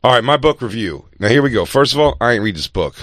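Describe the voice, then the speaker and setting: A man co-hosting a comedy chat who talks loudly, laughs a lot, and jumps into quick mock impressions. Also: mid-range voice, animated, no echo, clean studio sound. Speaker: podcast co-host.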